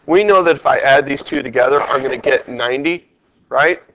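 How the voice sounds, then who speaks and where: A man's voice: medium pitch, a little fast, a bit bright, slight background noise, poor audio. A man with a stuffed-up, congested voice, walking a class through test questions step by step and quizzing them as he goes. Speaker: math teacher. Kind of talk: test review lesson over screen capture.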